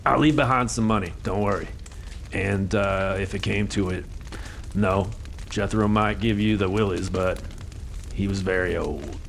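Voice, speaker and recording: An actor playing a gruff, practical frontier guide who talks bluntly and folksily. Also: male voice, low-pitched, measured pace, slight background noise.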